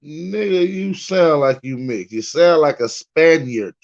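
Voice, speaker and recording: A man's voice: fairly high pitch, lively intonation, a little slow, darkish tone. Crude mocking man on a lo-fi stream. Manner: crude, mocking trash talk. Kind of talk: casual streaming banter.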